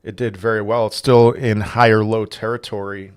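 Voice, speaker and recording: A man's voice: lowish voice, little pitch movement, normal speed, smooth. Man casually answering livestream chat. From Portugal. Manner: rambling, reacts to chat questions, frequent restarts. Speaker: crypto livestream host. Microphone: desk microphone.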